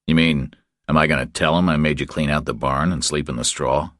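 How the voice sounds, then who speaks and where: A man's voice: a bass voice, steady tone, a little fast, fairly bright tone, no room sound, studio quality. A man voicing a tough, commanding male drifter in an audiobook, terse, controlled and taunting. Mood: dry, taunting. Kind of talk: audiobook character dialogue.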